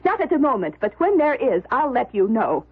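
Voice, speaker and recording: Haughty, husky rich daughter. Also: female voice, a little high, sing-song, brisk, narrow, tinny audio. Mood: scornful, commanding. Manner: imperious, scolding. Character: haughty, spoiled rich daughter, sharp-tongued wife. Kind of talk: radio drama acting. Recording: thin vintage radio recording.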